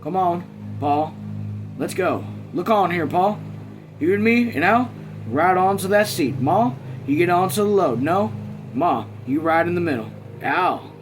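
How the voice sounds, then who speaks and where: A man's voice: sits high, animated, a little slow, over music. A man voicing a firm, protective young farmer in a novel, with plain, insistent folksy speech. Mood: commanding.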